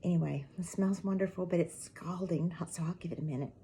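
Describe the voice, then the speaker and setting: A middle-aged woman talks casually to her channel viewers in a low, mellow, gravelly voice that is raspy from allergies. She rambles in a friendly way, and many of her words trail off into a soft half-whisper. A faint hum of noise sits behind her. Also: fast talker. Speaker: channel host. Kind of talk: casual chatty update to viewers. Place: at home with a mug of tea.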